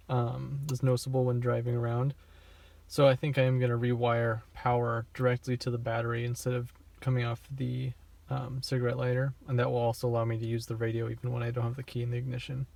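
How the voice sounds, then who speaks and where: Flat-toned man, casual DIY walkthrough. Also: medium pitch, creaky. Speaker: DIY car video host. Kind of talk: casual hands-on tutorial walkthrough.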